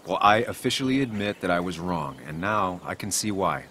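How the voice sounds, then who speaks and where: An actor playing a gentle, weary, consoling man in a dramatic video game, speaking in a measured and reassuring way. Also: deep voice, expressive, slight background noise. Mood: cautious, frank.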